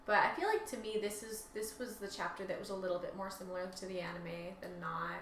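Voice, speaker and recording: A woman with a bright voice chats casually as a co-host, a little tentative. The room echoes and there is faint noise. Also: mid-range voice, quick pace, distant mic. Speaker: podcast co-host. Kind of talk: casual chat.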